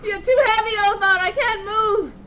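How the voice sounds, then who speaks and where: A woman as a brisk, high-pitched wry swordswoman. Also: steady tone, a crowd in the background. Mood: strained. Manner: urgent, quippy. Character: brisk, wry young swordswoman leading the escape. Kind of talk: audio drama acting.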